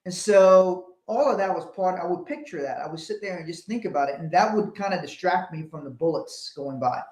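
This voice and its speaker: A man's voice: sits high, fairly quick, darkish tone. A man from Liberia co-hosting a motivational talk, speaking earnestly and often repeating words as he starts a sentence. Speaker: co-host. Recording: echoey room.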